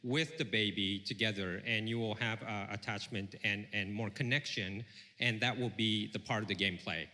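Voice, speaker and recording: A man interpreting live on stage, piecing phrases together hesitantly with pauses. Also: lowish voice, steady tone, pushed and bright. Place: large event hall stage. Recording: stage PA with long hall reverb.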